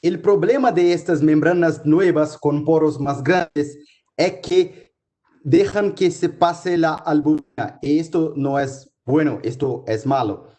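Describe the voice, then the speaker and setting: Guy w/ giving a medical lecture, kinda high but mellow voice, lots of stops and restarts. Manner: halting, many restarts and fillers while explaining slides. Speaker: physician lecturer.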